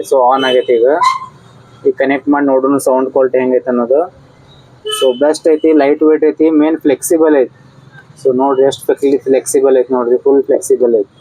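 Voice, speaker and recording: A man's voice: medium pitch, dark tone, poor audio. A man with a North Karnataka accent giving a casual product unboxing and review, in a repetitive way with short exclamations. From Karnataka, India.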